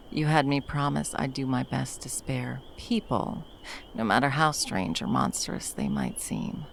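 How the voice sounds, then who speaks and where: A woman playing a protective, tender monster hunter in an intimate ASMR roleplay, speaking haltingly and breathily, often dropping toward a whisper. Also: a bass voice, vocal fry, a little hiss or hum, full character voice. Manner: halting, coaxing, speaking to a beloved. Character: wandering female monster hunter, fiercely protective, tender lover.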